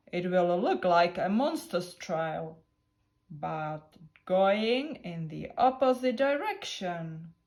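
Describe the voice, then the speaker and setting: Woman as storybook boy elephant, clever, calm, measured, reassuring, slow delivery. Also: medium pitch. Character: clever, calm, upbeat male patchwork elephant. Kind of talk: storybook character reading.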